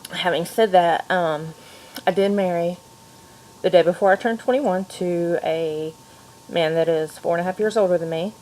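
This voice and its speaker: A woman of thirty from Kentucky with a mixed Southern accent, quietly introducing herself at home late at night, with many ums. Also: lowish voice, moderate pace, slight background noise, rough recording. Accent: mixed Kentucky and Georgia Southern. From Louisville, Kentucky. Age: 30s. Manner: soft-spoken, frequent ums. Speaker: student vlogger. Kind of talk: personal introduction video.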